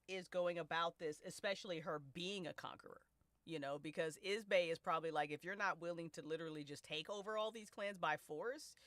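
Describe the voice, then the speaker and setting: A woman's voice: lowish voice, fairly bright tone, dry recording. A woman hosting a casual discussion of a fiction story, speaking expressively in slangy, profane language. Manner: prone to laughing as they talk. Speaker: host and writer of a fiction podcast. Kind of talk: casual discussion of an audio drama.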